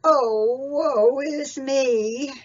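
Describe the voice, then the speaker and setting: A woman voicing a tired old cow in a storybook tale, lamenting in a slow wail. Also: sits high, darkish tone, muffled recording, pitched above the performer's own voice. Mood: woeful.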